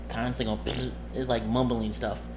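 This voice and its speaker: A man acting as game master, casually narrating a tabletop roleplaying game and thinking aloud in a halting, muttering way. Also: medium pitch, level tone. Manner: halting, muttering to himself while working out numbers. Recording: noisy room with background ambience.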